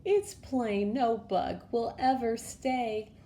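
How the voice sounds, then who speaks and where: A woman voicing a lonely, gentle female spider from a storybook, plaintive and pleading. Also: a little high, lively intonation, takes it slowly, a little hiss or hum, pitched above the performer's own voice. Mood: tearful. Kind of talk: storybook character voice.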